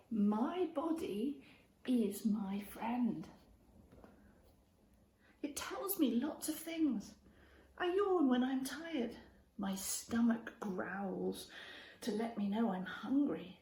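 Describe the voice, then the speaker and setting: A high-voiced woman reads a picture book aloud for children, with very animated, swooping intonation. She speaks straight to her young listeners in an expressive storytelling style. The room adds a noticeable echo around her voice. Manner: expressive, addresses child listeners with questions and prompts. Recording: roomy echo with faint background noise.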